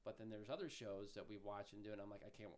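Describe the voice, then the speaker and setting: A man's voice: fairly low pitch, fairly even intonation, fast talker. A man in his thirties co-hosting a podcast, chatting loosely and rambling, with casual swearing. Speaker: podcast co-host. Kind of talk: casual chat.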